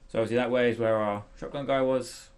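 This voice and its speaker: A man hosting a casual software tutorial, thinking aloud hesitantly as he demonstrates. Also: mid-range voice, fairly even intonation, quick pace. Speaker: tutorial host.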